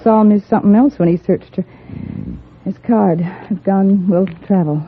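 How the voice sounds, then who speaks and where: A woman's voice: mid-range voice, steady tone, measured pace, slight background noise, natural voice. An actress playing a cynical, hard-bitten saloon girl in a 1950s radio Western, blunt and sardonic. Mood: bitter, intent. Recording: muffled, thin 1950s radio broadcast.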